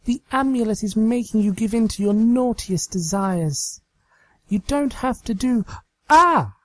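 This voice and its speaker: A man puts on a female pony magician for a storybook tale, pitched well up high. The manner is theatrical and melodramatic, with breathy pleading in a close, dry sound. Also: lively intonation, unhurried, no room sound. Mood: desperate pleading.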